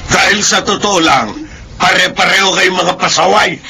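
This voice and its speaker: An actor playing a stern, protective middle-aged uncle in a Filipino radio drama. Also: male voice, high voice, normal speed, vocal fry, background noise. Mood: angry, scolding. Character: stern, scolding uncle protective of his sick sister.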